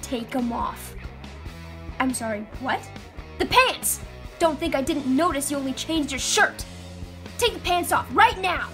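Woman playing a blunt, gruff teen brawler for a comic dub, high and slow. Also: lively intonation, music in the background. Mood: demanding. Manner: blunt and pushy. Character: tough teen boy, gruff street brawler.

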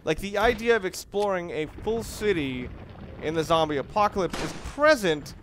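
A man with a slightly high voice hosts a gaming video in a casual way. His delivery is lively in pitch and halting, as he circles back to rephrase what he says. Clear background noise sits under the voice. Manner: rambling, halting, repeats and rephrases himself. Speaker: gaming video host, player. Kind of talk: casual let's-play commentary.

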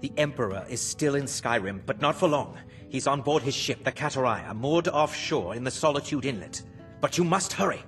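A man's voice: medium pitch, animated, normal speed, fairly bright tone, soft background music. An actor playing an anxious, scheming, pompous nobleman in a fantasy game, with formal and dramatic delivery. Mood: urgent, conspiratorial. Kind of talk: fantasy video game acting.

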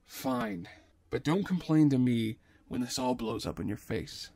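A man's voice: on the high side, very animated, a little slow, vocal fry, warm tone, heavily acted. A man as a skeptical, grumbling space adventurer. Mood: grudging. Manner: grumbling, reluctant.

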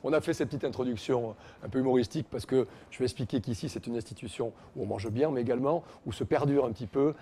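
Fast-talking, playful TV host. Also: male voice, medium pitch, warm tone, a little hiss or hum. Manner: playful, teasing. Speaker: TV food show host. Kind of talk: chatty restaurant presenting. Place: small wine bar and restaurant, indoors. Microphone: lapel mic.

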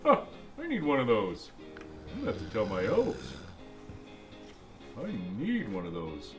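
Man playing a lively, jolly old Santa storyteller. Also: on the low side, unhurried, pitched below the performer's own voice. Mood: jolly, delighted. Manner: exclaiming, chatty asides between lines. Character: jolly old Santa Claus reading to kids. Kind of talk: animated storybook read-aloud. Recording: music behind the voice.

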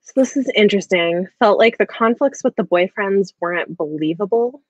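A woman's voice: medium pitch, expressive, normal speed, dry recording. A woman in her thirties hosting a casual book chat, talking in a hesitant, rambling way peppered with "like". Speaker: book discussion host. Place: small room at home, video call. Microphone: earbuds on a video call. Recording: dull video-call audio.

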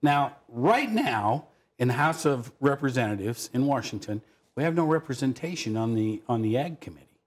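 Folksy, lively local TV host. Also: male voice, medium pitch, normal speed. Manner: folksy, chatty, lively intonation. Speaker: TV talk show host. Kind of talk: local TV interview. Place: TV studio. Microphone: lapel mic.

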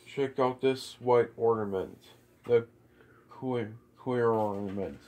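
Puppeteer for a home show: very slow, halting delivery, short answers, phone recording in a living room. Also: mid-range voice, mellow. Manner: brief, halting replies with repetitions. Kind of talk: casual homemade puppet play. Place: living room beside a Christmas tree at home. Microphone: phone camera a short way off.

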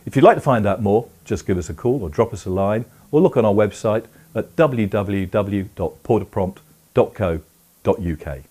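Deep-voiced middle-aged man, mellow. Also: lively intonation, moderate pace. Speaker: presenter for a teleprompter company. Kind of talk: scripted explainer. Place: indoor room full of camera equipment.

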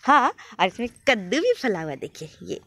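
A woman's voice: fairly high pitch, sing-song, moderate pace, dry recording. A young woman filming a casual family vlog on her phone outdoors, chatting and teasing her sisters and laughing often. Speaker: vlogger. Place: outdoors in a village courtyard and rooftop garden. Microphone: handheld phone camera. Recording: phone audio with loud outdoor noise.

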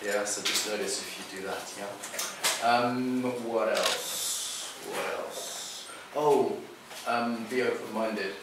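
A lower-voiced man with a British English accent leads a small live workshop, joking and direct in his delivery. He is heard from across an echoey room over steady background noise. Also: takes it slowly, few pauses. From England. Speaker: workshop leader. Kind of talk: lively workshop talk with guided exercises. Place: small cafe room, indoors, live audience. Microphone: far camera. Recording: echoey room from far off, noisy.

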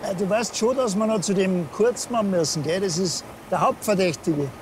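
Dry, higher-voiced Bavarian policeman, played by a man. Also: normal speed, close to an everyday voice. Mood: sardonic. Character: male Bavarian policeman, dry, sardonic, unimpressed partner. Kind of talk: comedy crime series acting. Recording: outdoor street and vehicle noise.

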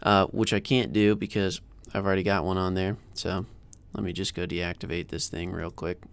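An American man in his thirties hosting a casual software screencast tutorial in an informal, chatty way, close to a desk mic. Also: low-pitched, little pitch movement, moderate pace, gravelly, clear, resonant tone. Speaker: tutorial host. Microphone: close desk microphone.

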